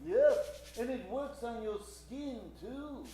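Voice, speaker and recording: Someone playing a slow, grand inventor hero, echoey. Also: high-pitched, noisy background. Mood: grand, salesmanlike. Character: heroic eccentric inventor doctor, grandly adventurous. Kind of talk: improvised old-time radio comedy acting. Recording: echoey stage, distant mic, music behind.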